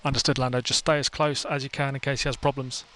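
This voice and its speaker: A man gives brief instructions as a race engineer over team radio. His delivery is very flat and mid-pitched. Vehicle noise is clearly audible around the voice. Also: quick pace.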